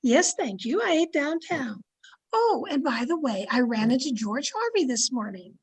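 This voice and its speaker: A woman playing a sly, flattering old aunt in an old-time radio comedy, coy and sweetly confiding. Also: sits high, normal speed, slightly rough, full character voice. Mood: innocent, sly. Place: home, online video-call production.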